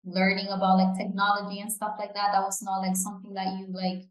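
A woman's voice: medium pitch, completely flat delivery, quick pace, reverberant. A young woman from the Canary Islands, co-hosting a podcast in casual chat, full of fillers and "you know". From Canary Islands, Spain. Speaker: podcast co-host.

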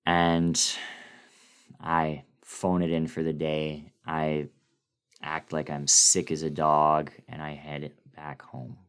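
Male narrator, very low voice, suspenseful and theatrical horror storytelling with pregnant pauses. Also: completely flat delivery, smooth. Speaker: guest reader of a horror story. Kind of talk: dramatic first-person horror story reading.

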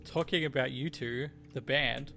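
A man's voice: medium pitch, unhurried, creaky, music in the background. A young man co-hosting a casual podcast, joking and laughing often with disbelief. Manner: laughs often, jokey and incredulous. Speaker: podcast co-host. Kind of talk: casual banter over a game.

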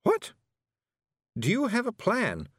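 Man putting on a polite, puzzled woman, raised pitch. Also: huge pitch swings, takes it slowly, a bit bright, breathy edge, no echo, clean studio sound, exaggerated voice. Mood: doubtful. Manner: hesitant, questioning. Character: polite, puzzled, kindly grown woman, mildly prim.

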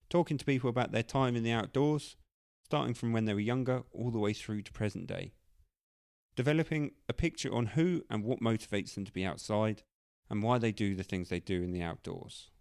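A man reading a scripted introduction to a podcast in long, steady, run-on sentences. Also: on the low side, clear, resonant tone, dry recording, studio quality. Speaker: podcast host.

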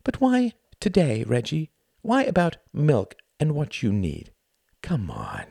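A man's voice: on the high side, huge pitch swings, slow, creaky, dark tone, slightly rough, no room sound, studio quality, voice pushed up, heavily acted. A man voicing a scornful, wounded young wife, needling and accusing in acted audiobook dialogue.

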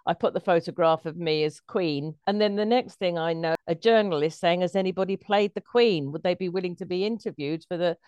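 A woman's voice: fairly low pitch, brisk, hardly any pauses, a little mellow, clean tone, no room sound, muffled recording. A woman, a guest performer telling anecdotes casually in an interview.

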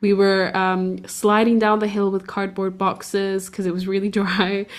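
A woman's voice: medium pitch, level tone, a little slow. A young woman from Portugal chatting casually, rambling with lots of fillers and restarts. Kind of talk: casual conversation.

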